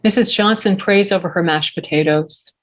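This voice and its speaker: A woman reading screenplay scene directions aloud at a table read, plain and measured. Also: lowish voice, low-quality recording. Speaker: stage-direction reader.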